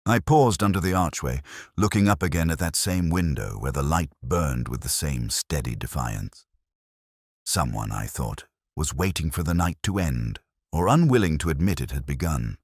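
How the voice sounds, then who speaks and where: A man reading first-person mystery narration in a measured, brooding, literary manner. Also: extremely low pitch, expressive, no room sound, studio quality.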